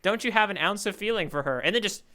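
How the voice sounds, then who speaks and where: A man's voice: sits high, animated, quick pace, sharp tone. A man co-hosting a casual manga podcast, chatting in an enthusiastic, rambling way with frequent exclamations. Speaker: podcast co-host, the newcomer reader. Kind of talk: casual chat about a manga.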